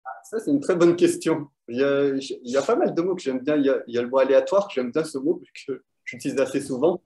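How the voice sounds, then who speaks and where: A man's voice: mid-range voice, animated, quick pace, warm tone. Hesitant man answering a quiz host. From Belgium. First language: French. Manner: hesitant, many fillers, thoughtful. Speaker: quiz winner, guest. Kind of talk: casual interview answers over an online call.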